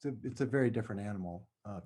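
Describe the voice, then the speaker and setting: A man speaking as an interview guest, explaining things thoughtfully and choosing his words with halting care. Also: fairly low pitch, warm tone, slightly rough. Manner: halting, careful with word choice. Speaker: playwright and screenwriter guest.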